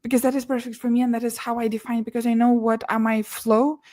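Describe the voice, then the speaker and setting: A young woman who grew up in Russia and speaks English as a second language, her voice sitting a little high. She talks earnestly in a relaxed interview, often restarting words and laughing softly between them. The sound is close and dry, with no room around it. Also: fast, no echo. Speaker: podcast guest, business and mindset mentor. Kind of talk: casual interview conversation.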